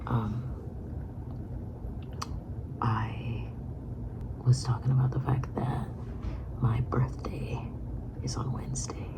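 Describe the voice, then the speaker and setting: A woman in her thirties whispering a personal vlog, halting and reflective. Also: rumbling low, little pitch movement, rough recording. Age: 30s. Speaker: vlogger.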